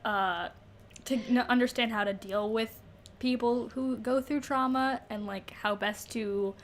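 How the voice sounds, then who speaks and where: A woman's voice: on the high side, fairly even intonation, measured pace, slight background noise. A woman co-hosting a podcast, chatting informally about a book and reacting with short interjections. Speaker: podcast co-host. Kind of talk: casual book discussion chat.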